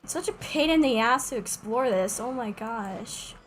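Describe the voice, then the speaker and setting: A woman's voice: fairly high pitch, lively intonation, moderate pace. A woman chatting casually and musingly, with some hesitation, as she commentates on a game livestream. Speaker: streamer. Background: faint game music.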